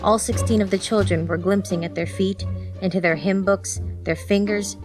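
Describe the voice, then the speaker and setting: A woman's voice: mid-range voice, fairly even intonation, normal speed, a little mellow, faint music behind. A woman narrator reading a scripted story for an audiobook.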